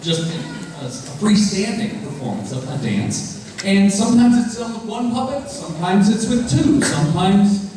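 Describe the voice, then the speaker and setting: A man's voice: sits high, big pitch swings, a little slow, mellow. A middle-aged man, a professor leading a puppet troupe, gives an explanatory stage introduction and puts questions straight to his audience. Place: festival stage before an audience. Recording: very echoey, distant mic, faint noise.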